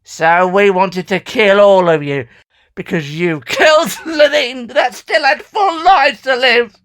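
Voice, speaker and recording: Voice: medium pitch, slow, fairly bright tone. A performer voicing a vengeful, gloating alien villain in an audio drama, with a menacing, theatrical manner. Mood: gloating, indignant.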